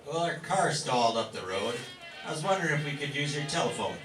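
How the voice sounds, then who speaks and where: A man playing a polite, gentle family father and traveler in an old-time radio drama. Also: fairly high pitch, expressive, fairly quick, natural voice. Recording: roomy, distant mic, clear music behind.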